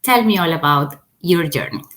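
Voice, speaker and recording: A woman's voice: low-pitched, animated, normal speed, a bit bright. Enthusiastic woman podcast host. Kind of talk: interview host introduction.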